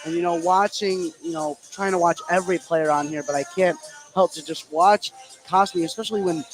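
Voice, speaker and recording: A man's voice: high voice, steady tone, moderate pace, faint music behind, poor audio. A man giving conversational live analysis as a basketball color commentator. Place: indoor gym at a basketball game.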